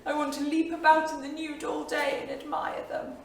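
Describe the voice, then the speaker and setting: An actress playing a spirited, romantic 1930s wife in a dramatic staged reading. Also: female voice, high voice, little pitch movement, normal speed, vocal fry. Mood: playful, exuberant. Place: small theatre studio. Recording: echoey theatre, distant mic, faint noise.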